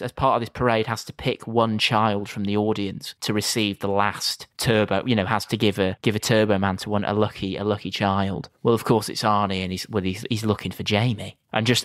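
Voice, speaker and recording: A man's voice: fairly low pitch, a bit bright, dry recording. A man co-hosting a film podcast, recapping scenes in a dry, rambling way with lots of restarts. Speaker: podcast co-host. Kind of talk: casual film review chat.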